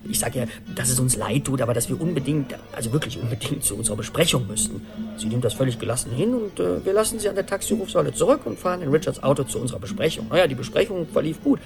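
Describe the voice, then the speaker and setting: With clear music behind him, a man in his forties, anxious and rambling. He talks in an animated, urgent monologue in radio drama style, at a medium male pitch. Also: quick pace. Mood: animated recounting. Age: 40s. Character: anxious, rambling middle-aged man in a long-distance romance. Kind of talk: radio play acting, conversational monologue.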